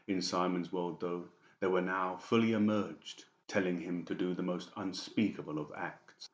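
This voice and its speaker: A man with a very low voice narrates a dramatised story. The sound is roomy and a little muffled, as in a boxy home recording. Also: fairly even intonation, normal speed. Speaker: audiobook narrator.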